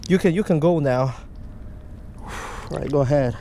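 A man giving casual, excitable running commentary while fishing outdoors at a creek, talking to the fish and his viewers, recorded on a chest-mounted action camera. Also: on the high side, normal speed, slight background noise. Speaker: fishing vlogger. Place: outdoors by a creek under a stone bridge.

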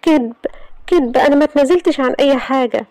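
A woman with an Egyptian accent voicing a distraught young woman, speaking high and pleading through tears. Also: fast, darkish tone, no echo. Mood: desperate. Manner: high, crying, desperate pleading.

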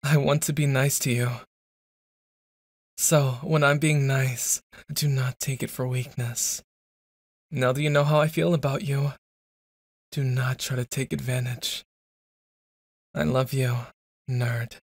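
Slow, teasing young man in roleplay. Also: medium pitch, flat delivery, no echo. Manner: brash, teasing, flustered stammering. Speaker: boyfriend roleplay performer. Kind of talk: ASMR boyfriend roleplay.

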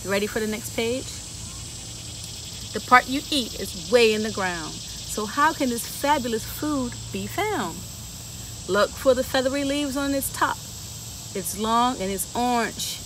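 A woman's voice: on the high side, slow. A woman reading a picture book aloud to children on a garden bench outdoors, playfully asking them questions between the rhymes. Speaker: children's story time reader. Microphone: camera a few feet away. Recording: outdoor recording with clear insect noise.

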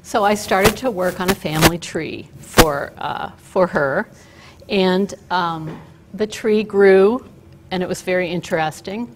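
An older woman giving a chatty, story-driven talk at a podium, telling it with fillers and asides in a loud voice. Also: lowish voice, unhurried, background noise. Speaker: guest speaker. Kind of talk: lecture with slides. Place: auditorium stage at a podium. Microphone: podium mic.

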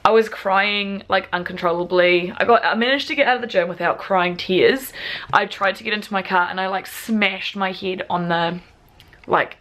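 A young woman in her twenties with a raspy voice, chatting casually to a camera held at arm's length, full of "like" fillers. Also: medium pitch, a bit bright. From New Zealand. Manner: chatty, filler-heavy with frequent "like". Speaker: vlogger. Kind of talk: casual vlog talk to camera. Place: apartment living room and parked car.

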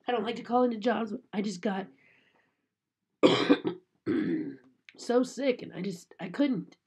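A woman's voice: a little high, a little slow, a little mellow, husky. Woman, 30s, nasal from a cold; chatty home vlogger, webcam mic. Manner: chatty, apologetic. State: sick with a cold, congested. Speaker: unboxing vlogger. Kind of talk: casual chat to camera. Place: small room indoors. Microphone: webcam at desk.

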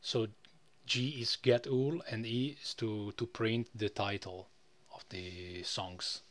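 A man presenting a casual, unscripted software tutorial in a rambling way, often tagging phrases with "you know". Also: lowish voice, fairly even intonation, slow.

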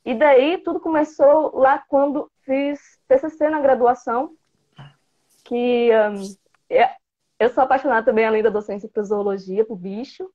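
A playful, chatty woman in her thirties with a Bahian Brazilian accent, her voice sitting a little high. She talks through an earphone mic on a video call, and the sound comes through slightly dull. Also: steady tone, normal speed, darkish tone. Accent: interior Bahia Brazilian Portuguese. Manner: chatty, playful, gestures a lot. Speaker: guest, biology teacher. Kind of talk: casual video-call interview. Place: small room indoors. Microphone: earphone headset mic on video call.

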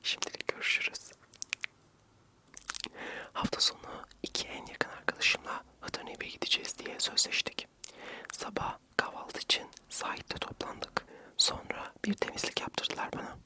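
Young male ASMR vlogger, fully whispered narration, gentle and breathy, close-miked. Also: moderate pace. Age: young adult.

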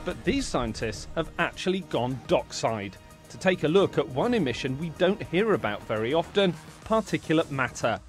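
A man narrating a news report as the reporter, inquisitive and lively. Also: a little high, normal speed, music in the background.

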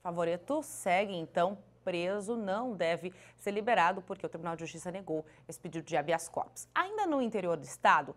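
A Brazilian woman anchors a news bulletin from the studio desk. Her delivery is crisp and scripted, at an ordinary middle pitch. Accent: Brazilian Portuguese.

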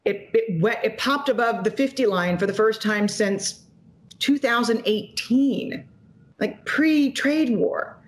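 Woman in her forties, guest economist on a video call; emphatic, quick, slightly high-pitched delivery. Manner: emphatic, quick, gesturing while talking. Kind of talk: animated interview commentary. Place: home office in front of a logo backdrop. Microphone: video call webcam.

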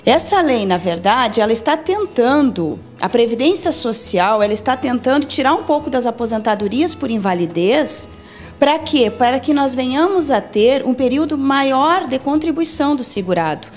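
A woman's voice: high-pitched, expressive, normal speed, soft background music. A woman in her forties with a Brazilian accent, a lawyer methodically explaining legal rules in a TV interview in an office, into a handheld mic. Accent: Brazilian Portuguese. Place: office meeting room.

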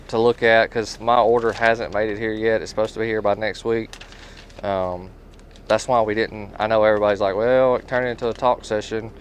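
Guy in his 30s, kinda low voice, folksy rambly tool dealer chat, bit of noise behind. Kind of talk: casual product talk and storytelling. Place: inside a tool truck. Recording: steady background noise.

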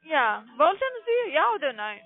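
A woman with a foreign accent in halting German, working as a call centre agent and insistently questioning a customer. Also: high voice, very animated, faint music behind. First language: not German. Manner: halting, non-native phrasing, insistent questioning. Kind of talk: scripted cold-call sales pitch over the phone. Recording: tinny narrowband phone line.

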